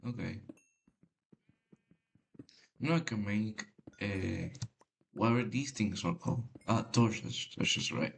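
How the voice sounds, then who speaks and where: A man whose first language is Spanish, giving casual, halting gameplay commentary with a deep voice and many fillers. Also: creaky. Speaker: gamer.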